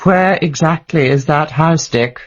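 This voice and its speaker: An actress playing a shrewd, protective wife in a radio drama, probing and coaxing with quick wit. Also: female voice, deep voice, dull audio. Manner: probing, soothing, quick-witted.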